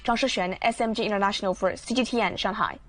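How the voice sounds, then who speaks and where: A woman reporter narrating a news feature in a lively, conversational voice-over. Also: medium pitch, quick pace. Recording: loud music bed under voice.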